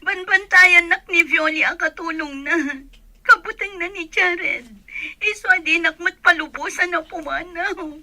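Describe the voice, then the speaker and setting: A woman's voice: very high voice, unhurried, bright, forceful tone, dry recording, full character voice. A woman playing a distressed, plaintive young wife in a radio drama. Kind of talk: Ilocano radio drama acting.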